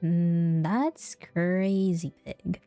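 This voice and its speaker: A woman game streamer comments casually in a lowish, mellow voice. Her delivery has a marked vocal fry. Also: steady tone, very slow, dry recording. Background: game music.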